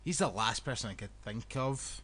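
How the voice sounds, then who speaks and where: A man's voice: medium pitch, expressive, normal speed, fairly bright tone. A man co-hosting a wrestling podcast, chatting in a blunt, playful, sweary way. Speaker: podcast co-host. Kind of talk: casual wrestling chat.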